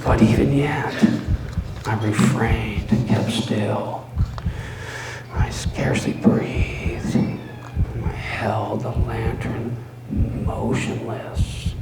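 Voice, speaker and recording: A man voicing a mad, obsessive murderer confessing his crime in a dramatic gothic horror reading, halting and theatrical, moving between breathy whispers and loud outbursts. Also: medium pitch, steady tone. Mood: tense, obsessive. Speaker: storyteller. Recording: roomy live recording with faint noise.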